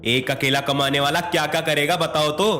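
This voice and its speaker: A man's voice: fairly high pitch, fairly even intonation, normal speed, bright, forceful tone. A man playing a put-upon young husband of modest means in a dramatised moral story, protesting in an exasperated, reproachful manner.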